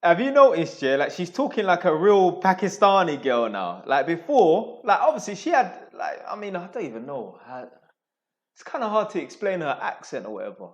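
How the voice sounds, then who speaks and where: A man reacting to a clip on a stream, animated and repeating lines mockingly. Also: sits high, moderate pace, highs cut off. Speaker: commentator on a live stream. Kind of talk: casual reaction commentary.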